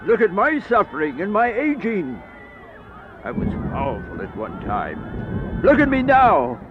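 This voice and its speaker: Aged, brooding vampire, wildly swooping voice. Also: male voice, sits high, huge pitch swings, unhurried, background noise, heavily acted. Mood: weary, bitter. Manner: grandiose, brooding, melodramatic monologue. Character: aged, sickly first vampire, bitter and remorseful. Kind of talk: theatrical radio horror play acting. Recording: dull lo-fi radio play with music.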